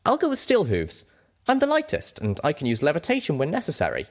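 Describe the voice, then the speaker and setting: A man's voice: a little high, huge pitch swings, fairly quick, pitched above the performer's own voice. A man voicing a small young mare, an earnest, caring and plucky heroine in a story reading. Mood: eager.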